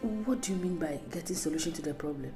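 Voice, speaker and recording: An actress playing a patient, devout wife with a Nigerian accent, gently coaxing in a film drama. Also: female voice, sits low, fast, soft background music. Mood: pleading, hesitant.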